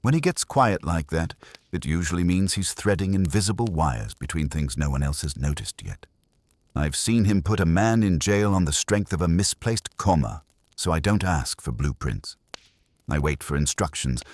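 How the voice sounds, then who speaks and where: A man's voice: deep voice, lively intonation, moderate pace, no echo. A man narrating a first-person detective audiobook in a wry, hardboiled manner.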